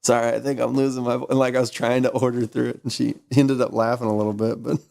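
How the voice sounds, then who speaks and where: A man's voice: mid-range voice, little pitch movement, fast talker, creaky, darkish tone. Rambling tired young man chatting. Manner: rambling storyteller, fillers like "like". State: slightly lost voice, tired. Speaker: co-host of a live art stream. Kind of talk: casual chat.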